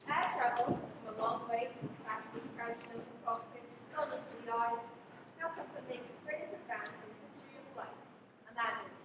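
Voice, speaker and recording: A polished, grandiose vintage commercial announcer, played by a woman, with a fairly high voice. She is distant in a very echoey hall under loud rumbling noise. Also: close to an everyday voice. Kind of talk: vintage radio advertisement acting.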